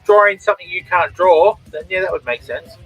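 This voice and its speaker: A man's voice: sits high, expressive, a little fast, a bit bright, slightly rough, faint music behind, low-quality recording. A man with an Australian accent hosting a drawing stream, chatting casually and reading out viewers' comments. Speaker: comic artist and stream host.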